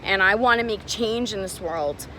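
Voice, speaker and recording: A woman talks straight to her phone camera while walking along a city street, emotional and fed up. Her pitch sits in an ordinary middle range, and she swears freely and keeps addressing her viewers as guys. Clear traffic and street noise run behind her voice. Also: normal speed, pushed and bright. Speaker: vlogger. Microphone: handheld phone camera. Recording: noisy outdoor street recording.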